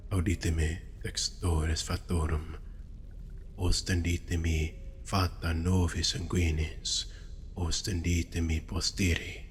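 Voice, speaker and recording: Man playing a slow, low-voiced possessive vampire. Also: flat delivery, slight background noise. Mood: solemn, mystical. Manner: slow, theatrical, formal, breathy murmurs. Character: suave possessive male vampire fortune teller, obsessive yet tender. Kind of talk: intimate scripted audio roleplay addressed to the listener.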